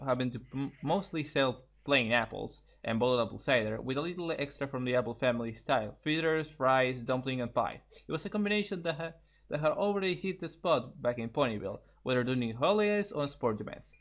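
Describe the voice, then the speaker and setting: A man's voice: medium pitch, fairly bright tone, muffled recording. A man reading a story aloud informally, often stumbling and repeating phrases as he goes. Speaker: co-host reading fan fiction aloud.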